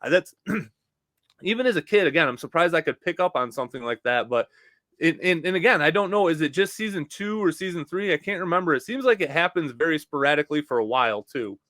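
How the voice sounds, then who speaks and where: A man's voice: a little high, lively intonation, a little fast, a bit bright, no room sound. A man co-hosting a podcast in casual fan discussion, informal and opinionated, on a close microphone. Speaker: podcast host.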